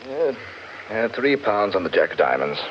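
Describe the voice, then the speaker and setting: An actor playing an earnest, curious, superstitious English gentleman in a 1940s radio drama, hemming and exclaiming. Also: lowish voice, very animated, unhurried. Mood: genial. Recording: muffled, noisy 1940s radio recording.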